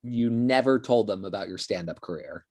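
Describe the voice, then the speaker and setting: A woman's voice: rumbling low, normal speed, darkish tone. A woman playing a brash, nosy, immature adult freeloader in a comedy table read. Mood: impressed.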